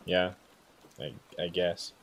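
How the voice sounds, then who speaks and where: A man acting a troubled, defensive, self-pitying brother in an audio drama, speaking in halting, broken phrases. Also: low-pitched, steady tone, a bit bright, heavily acted, low-quality recording. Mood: subdued.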